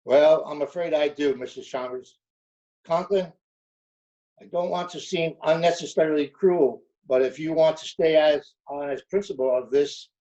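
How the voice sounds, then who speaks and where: A man playing a measured, skeptical official in old-time radio comedy style. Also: a little high, mellow, lightly acted. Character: measured, skeptical male official over the principal. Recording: dull remote video-call audio.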